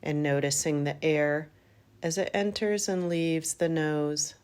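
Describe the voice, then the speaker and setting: A woman leading a guided meditation in a calm, gentle manner, in unhurried phrases with long pauses. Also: low-pitched, clear, resonant tone. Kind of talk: guided yoga nidra meditation.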